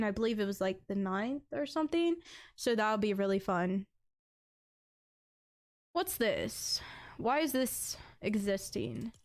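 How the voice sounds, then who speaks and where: A young adult woman with an accent, chatting casually as a game streamer and bursting into excited exclamations. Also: fairly high pitch. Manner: chatty, bursts into excited "ooh"s over items. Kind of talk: casual live-stream chat.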